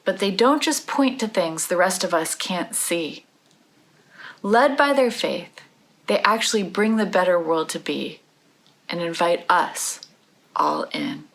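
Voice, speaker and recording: A woman reading her own book aloud as a children's read-aloud, in deliberate, measured phrases. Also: fairly low pitch, expressive, fairly bright tone.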